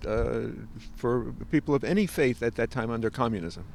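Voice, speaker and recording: A man with an average-pitched voice poses interview questions. He speaks haltingly, often searching for the right word, with faint outdoor traffic noise in the recording. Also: vocal fry, a little mellow.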